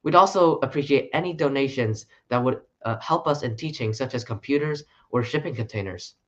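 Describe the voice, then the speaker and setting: A man presenting a short conference talk in an earnest, steady way. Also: mid-range voice, brisk, dull audio. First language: English.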